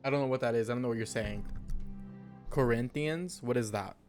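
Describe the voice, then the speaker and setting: A man's voice: mid-range voice, a little fast, darkish tone, faint music behind. A man live-streaming a game, reacting casually in a slangy, exclamatory way.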